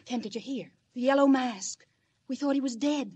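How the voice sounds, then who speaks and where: An actress playing a spirited, pushy young newspaper reporter, eager and pointed, in 1940s radio serial style. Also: female voice, high-pitched, normal speed, darkish tone, breathy edge. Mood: eager, alarmed.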